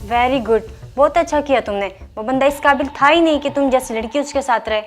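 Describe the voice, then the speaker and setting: Actress as a showy, high-voiced meddling friend. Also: female voice, fairly quick, soft background music, close to an everyday voice. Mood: smug, evasive. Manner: blunt, showy, needling. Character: boastful, meddling, newly married young woman friend. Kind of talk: acted moral-story drama dialogue.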